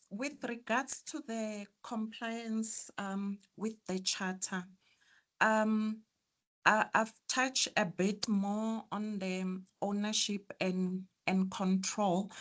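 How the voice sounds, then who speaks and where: A woman with a soft, quiet voice answering members' questions in an online meeting, hesitant with many pauses and ums. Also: medium pitch. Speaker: association official answering members.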